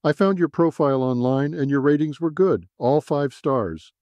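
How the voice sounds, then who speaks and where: An assured woman executive from a noir science fiction story, as acted out by a male narrator. She speaks in a composed, businesslike manner, with a mellow tone and a close, dry sound. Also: fairly high pitch, lively intonation, normal speed, dry recording, voice pushed up, lightly acted. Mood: businesslike, insistent. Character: sharply dressed, composed professional woman, tech executive.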